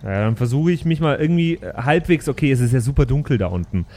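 A man's voice: medium pitch, animated, quick pace, darkish tone, a little hiss or hum. A man improvising casually as a player in a tabletop role-playing game. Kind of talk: improvised in-character role play, casual chat.

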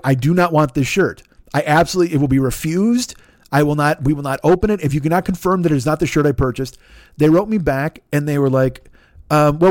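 A man hosting a podcast, telling a long comic story in a rambling, animated way, cursing constantly and acting out the exchanges he quotes. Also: on the high side, no echo, clean studio sound. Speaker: podcast host. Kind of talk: profane comedic storytelling.

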